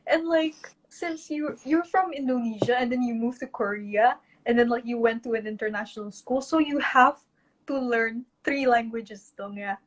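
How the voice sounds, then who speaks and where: A woman with a fairly high voice co-hosts a casual live-stream chat. She asks friendly questions and answers with short, agreeing replies. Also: moderate pace, rough recording.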